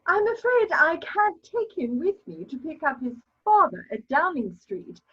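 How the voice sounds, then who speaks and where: A woman's voice: high voice, sing-song. An actress playing a haughty elderly society mother in a comedy of manners, grand, plaintive and disapproving, on a muffled recording. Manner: grand, lamenting, drawn-out delivery. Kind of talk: stage comedy of manners, dramatic reading.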